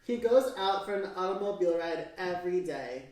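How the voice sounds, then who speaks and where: A woman reading a children's story aloud in an expressive, measured, theatrical way. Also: on the low side. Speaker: storybook narrator. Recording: very echoey room, distant mic, music. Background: piano music.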